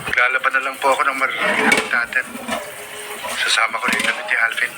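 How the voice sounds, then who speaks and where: A man's voice: mid-range voice, level tone, fairly quick, natural voice. A man playing a dutiful, considerate young adult in a radio drama, speaking with a Filipino accent. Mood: concerned, dutiful. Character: dutiful, considerate grown child of a farmer. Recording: very noisy playback, dish clatter.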